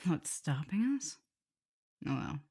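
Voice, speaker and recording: Woman acting a low, husky, tender vampire mistress. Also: sing-song, heavily acted. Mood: soft, reassuring. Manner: soft, unhurried, tender, gently teasing. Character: affectionate, teasing female vampire mistress and professor, doting lover. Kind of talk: intimate ASMR roleplay addressed to the listener.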